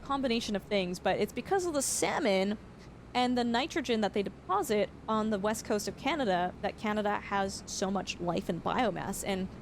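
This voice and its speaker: A young woman streaming a farming game, chatting casually and explaining facts with lots of fillers, her voice slightly hoarse. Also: medium pitch, slight background noise. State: voice going hoarse. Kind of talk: casual live-stream chat.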